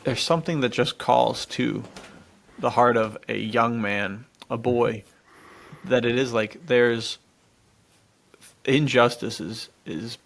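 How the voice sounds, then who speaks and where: A man with an average-pitched voice and heavy vocal fry. He co-hosts a podcast in a loose, excitable way. His talk is informal and full of buddy slang. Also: slow, long pauses. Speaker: podcast co-host. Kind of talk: casual enthusiastic chat about adventure stories.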